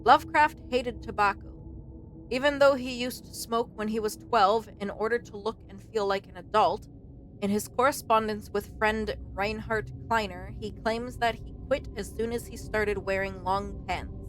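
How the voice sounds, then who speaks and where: A woman's voice: medium pitch, fairly even intonation, normal speed, a little hiss or hum, poor audio. A woman hosting a let's play, casually commenting, reading aloud and reacting expressively to the game. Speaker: streamer, let's play host. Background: faint game music.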